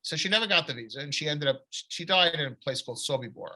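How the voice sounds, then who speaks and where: A middle-aged man, an author telling long rambling stories from his family history in a relaxed conversation. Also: fairly high pitch, fast talker. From United States. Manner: long rambling anecdotes with restarts. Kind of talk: conversational storytelling.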